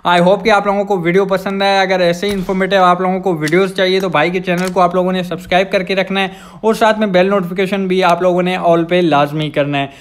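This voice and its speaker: Young male gaming host, Pakistani accent, high voice, casual explanatory voice-over. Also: fairly even intonation, a little fast, hardly any pauses.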